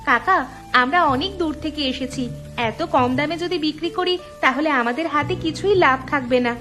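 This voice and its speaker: A woman voicing a kind, curious young village girl in a cartoon, earnest and expressive. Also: sits high, a little fast, soft background music. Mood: wary, questioning. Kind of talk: cartoon storytelling voice acting.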